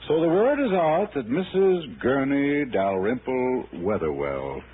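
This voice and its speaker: A genial American insurance man from a sixties radio play, mid-pitched and animated. He hems along in drawn-out, slyly courteous phrases. The recording is tinny and hissy. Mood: amused, dithering. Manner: hesitant, chuckling, drawn-out phrasing. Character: genial, unhurried male insurance office man, slyly baiting. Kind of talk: 1960s American radio drama acting.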